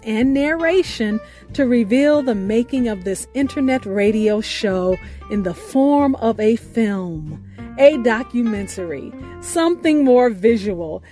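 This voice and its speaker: A woman with a high voice hosts a radio program, speaking in an earnest and grateful way. She paces her words with care. Soft music plays faintly underneath. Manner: earnest, grateful, measured delivery. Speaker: radio show host. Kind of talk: scripted show introduction. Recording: faint music bed behind voice.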